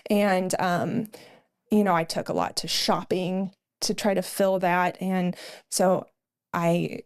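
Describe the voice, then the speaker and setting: A woman's voice: fairly low pitch, fairly even intonation, slow, vocal fry, smooth. A middle-aged woman co-hosting a podcast, telling her personal testimony in an earnest storytelling way with frequent fillers. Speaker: podcast co-host.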